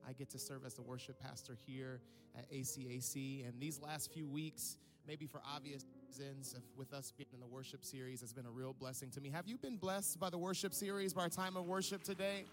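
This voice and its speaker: A man's voice: mid-range voice, level tone, a little fast, music in the background. A man serving as worship pastor, speaking to the church in an upbeat, conversational way and asking for the congregation's response. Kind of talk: church announcement.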